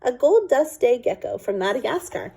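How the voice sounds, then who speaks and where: A woman's voice: on the high side, sing-song, moderate pace, gravelly, warm tone, slightly rough, voice pushed up, heavily acted, rough recording. A woman voicing an eager, earnest little girl in a storybook, with an emphatic, pleading manner.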